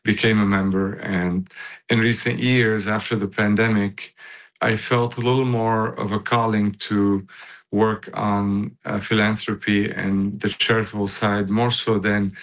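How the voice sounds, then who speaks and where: A man's voice: lowish voice, level tone, measured pace, poor audio. A man in his fifties from Lebanon, talking in an interview as a guest, with many fillers and restarts. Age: 50s. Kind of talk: casual interview talk.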